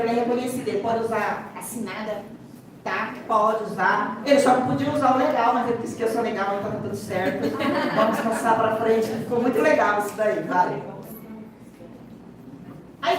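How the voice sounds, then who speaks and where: Echoey, high-voiced Brazilian woman lecturing. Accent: Brazilian Portuguese. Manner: didactic, emphatic, asks rhetorical questions and repeats key points. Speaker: seminar speaker, sign language teacher and interpreter. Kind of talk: interactive lecture to an audience. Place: large echoey room, far from the mic. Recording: echoey hall, distant microphone, clear background noise.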